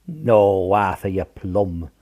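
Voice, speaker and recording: A man's voice: sits low, steady tone, slow pace, creaky, darkish tone, heavily acted. A man playing a blunt, affectionate working-class British father in a wartime radio play. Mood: hearty, insistent.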